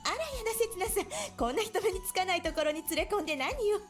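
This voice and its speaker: A playful anime girl from a visual novel, talks extremely high and quickly. Her delivery is theatrical and teasing, swooping up and down over game music. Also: animated. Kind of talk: Japanese visual novel voice acting.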